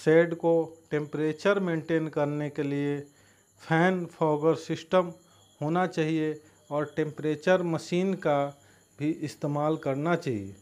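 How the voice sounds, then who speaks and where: A man giving instructional farming narration in a halting way, breaking his sentences into short phrases. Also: fairly high pitch, steady tone, darkish tone.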